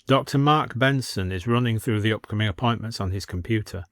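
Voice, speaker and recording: A man's voice: on the low side, a little fast, no room sound, clean studio sound. A man narrating a scripted story as a storyteller.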